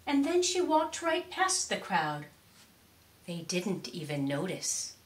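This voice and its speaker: A middle-aged woman reading a children's storybook expressively, with deliberate, theatrical emphasis in a roomy space. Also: on the low side, huge pitch swings. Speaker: storytime reader. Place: indoors, curtained room or small stage. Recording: roomy echo on voice.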